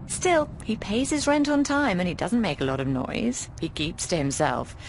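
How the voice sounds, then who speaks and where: Casting: middle-aged British landlady, fond and cheeky, animated delivery, game dialogue over noisy ambience. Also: lowish voice, moderate pace. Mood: blunt, scornful. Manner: affectionate, frank, cheeky, often calls people darling. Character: warm, bawdy middle-aged British landlady of a boarding house. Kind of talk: video game voice acting.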